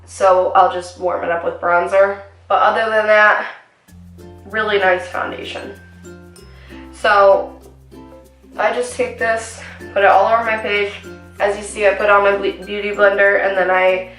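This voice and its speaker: A young woman with a slightly raspy voice talks her way through a makeup routine, rambling and filling the pauses with ums. She sits a little back from the camera mic in a small home room, so her voice carries a roomy echo, with faint music underneath. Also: medium pitch, flat delivery, fairly bright tone, far from the mic. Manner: chatty, rambling with many ums. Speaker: beauty vlogger. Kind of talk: casual makeup tutorial.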